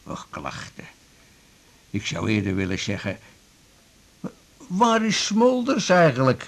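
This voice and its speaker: A man's voice: mid-range voice, wildly swooping pitch, slow, vocal fry, full character voice. A wry old sherry-drinking man. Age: older. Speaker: patient. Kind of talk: 1980s radio drama acting.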